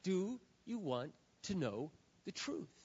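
A high-voiced man preaches in a large hall, his sound dull and trailing a long reverb. He is hoarse and swings from hushed, earnest pleading to loud, impassioned shouting. He is a pastor delivering a sermon. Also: big pitch swings, slow pace. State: hoarse, says he has lost his voice.

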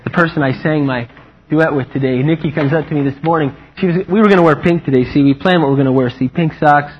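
A man preaching a lively, humorous sermon, animated and playful, acting out quotes in a higher voice. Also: fast, a little hiss or hum. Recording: muffled lo-fi recording.

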